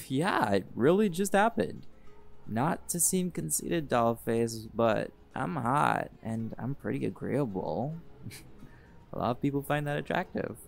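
Guy doing a chill flirty jaguar shifter dude, kinda low and gravelly, easygoing, soft music under it. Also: slow. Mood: laid-back. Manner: easygoing, teasing, relaxed drawl with laughs. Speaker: voice actor in audio roleplay. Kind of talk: audio roleplay, speaking to listener.

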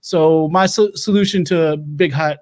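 A man playing a rambling doomsday-prepper host, talking fast, stumbling over words and jumping into loud, high bursts. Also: natural voice. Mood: manic rambling. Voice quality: rapid, stumbling, loud bursts, drawling asides. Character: rambling Louisiana doomsday-prepper man, manic, self-assured. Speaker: livestream show host. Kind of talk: improv character comedy.

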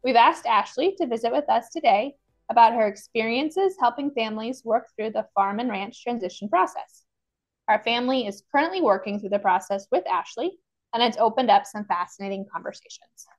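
A woman hosts the podcast, reading a friendly prepared introduction. Her voice sits somewhat high, and soft music plays beneath it. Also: animated, brisk. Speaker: guest podcast host.

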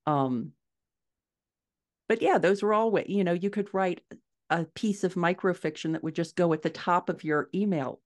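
A middle-aged woman giving measured, explanatory answers as a guest author in a conversational interview over a video call. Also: lowish voice. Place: small furnished room at home, indoors.

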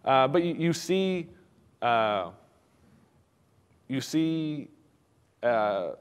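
A man giving a technical conference talk in a casual, halting way, with many fillers and restarts. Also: mid-range voice, expressive, clear, resonant tone, long reverb tail. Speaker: conference speaker. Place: conference hall.

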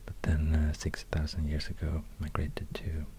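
A man with a Swedish accent murmurs ASMR narration in a low, even near-whisper. His delivery is halting and musing, close to a binaural microphone, with noise and soft clicks audible. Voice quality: hushed, breathy near-whisper. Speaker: ASMR video creator. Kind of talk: soft-spoken ASMR narration while drawing.

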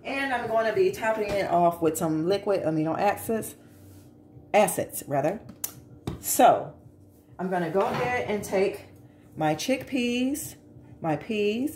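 Echoey, lower-pitched American woman vlogging. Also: darkish tone. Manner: informal, chatty, addressing viewers directly. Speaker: vlog host. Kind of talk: casual cook-along vlog. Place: home kitchen, indoors. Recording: echoey room with background noise.

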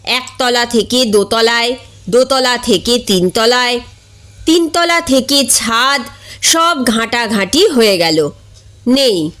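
A woman reading a comic short story aloud in an expressive, dramatic way. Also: on the high side, slow. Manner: expressive, acts out characters' dialogue.

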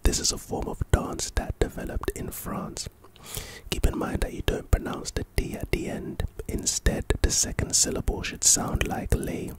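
A man speaks in a hushed, unvoiced whisper throughout, like a quiet ASMR lesson. He reads words and example sentences calmly, then slips in playful jokes and teasing asides. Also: normal speed, rough recording. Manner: playful asides while reading. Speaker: ASMR host teaching vocabulary.

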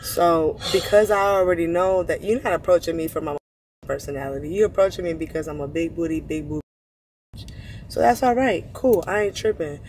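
A woman's voice: fairly low pitch, a little fast, a little mellow, slight background noise, poor audio. A young woman from near Houston, bantering bluntly and sassily in slangy casual talk inside a parked car. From Texas, near Houston. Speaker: prank target. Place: outdoors on a street, then inside a parked luxury car.

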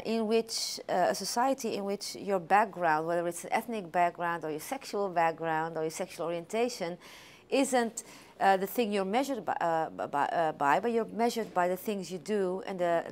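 A woman whose first language is Dutch, a city alderwoman, answering interview questions earnestly and pausing with fillers as she searches for words. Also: fairly low pitch. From Netherlands.

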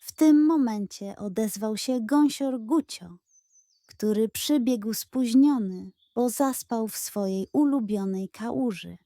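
A woman narrating a children's bedtime story in an expressive, scripted storytelling style. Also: on the high side, slow pace, no room sound, studio quality.

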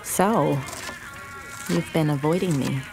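A practical woman running a restaurant in an audio drama. Her voice sits low, and she talks plainly and gently, a little guarded, with faint music behind. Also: takes it slowly, gravelly, heavily acted. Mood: gentle, careful. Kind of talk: naturalistic audio drama acting.

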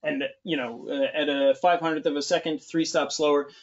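Relaxed 30s male host, slightly high voice; dull studio sound. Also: brisk. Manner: relaxed, joking, lots of fillers. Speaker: webinar host. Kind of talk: webinar discussion. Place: studio with large screen.

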